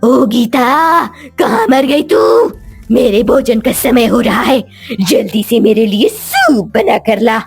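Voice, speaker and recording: Woman playing a husky, bossy witch. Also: fairly high pitch, big pitch swings, takes it slowly, faint music behind, heavily acted. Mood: angry scolding. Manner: scolding, domineering. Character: bossy, cruel witch who enslaves children. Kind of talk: Hindi animated children's story acting.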